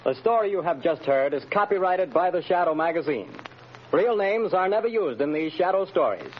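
A man's voice: a little high, big pitch swings, normal speed, noisy background. A man announcing in a formal, declamatory old-time radio style on a muffled vintage recording. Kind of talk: scripted 1930s-40s radio announcing.

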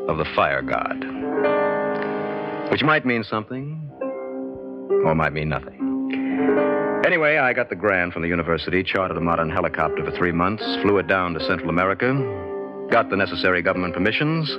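A man's voice: deep voice, lively intonation, brisk, pauses often. An actor playing a discouraged, impatient American academic adventurer telling his own story in an old-time radio drama. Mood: weary recounting. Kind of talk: old-time radio drama acting, first-person narration. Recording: dull old radio recording, loud music.

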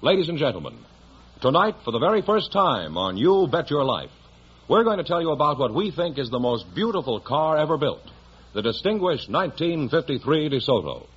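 A man's voice: on the high side, very animated, a little fast, slightly rough. A man announcing a 1950s radio show in a grand, polished, formal manner. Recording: muffled old 1950s radio broadcast.

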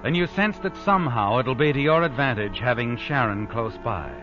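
A sly male narrator, played by an actor, taunts the listener in swooping, insinuating phrases. Music plays clearly behind his muffled, old-time radio mystery delivery. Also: medium pitch, expressive, normal speed, natural voice. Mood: sly, taunting. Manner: insinuating second-person address, rhetorical questions. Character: sly, taunting narrator voicing a schemer's thoughts. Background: dramatic music.

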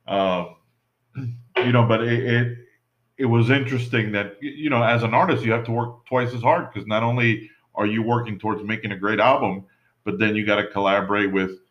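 A man with a lowish voice rambles through a casual podcast chat, filling his talk with "you know" and restarting his sentences. The audio is dull and lo-fi. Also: a bit bright. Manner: rambling, many fillers and restarts. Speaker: podcast co-host. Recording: dull lo-fi sound.

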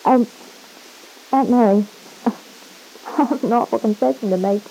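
An actress playing a breezy, wisecracking young nightclub hostess in an old-time radio serial. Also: female voice, on the high side, a little slow, vocal fry, mellow, a little hiss or hum. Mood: breezy, friendly. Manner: playful, wisecracking, casual. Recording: muffled old radio broadcast recording.

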